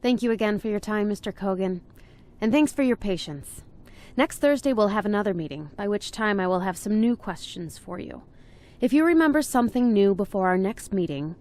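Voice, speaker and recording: A woman's voice: medium pitch, darkish tone, natural voice. An actress playing a diligent, determined woman investigator in a video game, speaking in a formal, methodical way.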